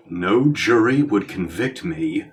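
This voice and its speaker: A man's voice: sits low, heavily acted. A man playing a dry, self-important, exasperated brother with a dramatic, sardonic manner in a comedy audio drama.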